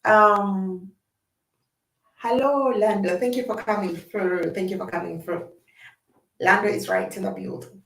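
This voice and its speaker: A woman's voice: mid-range voice, fairly even intonation, a little slow, gravelly, pauses often, a bit bright, recorded from a distance, a little hiss or hum. A young woman, earnestly hosting a casual livestream and speaking directly to her viewers. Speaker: livestream host. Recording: roomy sound from away from the mic.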